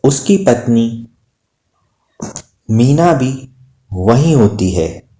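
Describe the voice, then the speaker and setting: A man narrating a cartoon moral story in a measured storytelling way. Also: medium pitch, very animated, dark tone, roomy sound.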